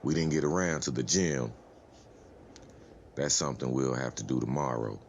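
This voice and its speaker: A man's voice: a bass voice, moderate pace, gravelly, a little hiss or hum. A man playing an easygoing, jokey survivor chatting casually in a dramatized comic. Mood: casual report. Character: easygoing, joking male survivor reporting on prison chores. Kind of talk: dramatized comic-book voice acting.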